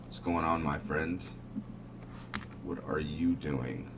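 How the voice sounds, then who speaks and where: A man giving casual commentary on a roleplay game, talking in a measured, halting way. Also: a bass voice, steady tone, noisy background. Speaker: gaming video host. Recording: distant mic under loud music. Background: loud game music.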